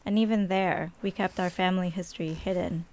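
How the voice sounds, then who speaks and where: A woman from Colombia, narrating a personal radio story in a calm, evocative way. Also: on the low side, a little fast.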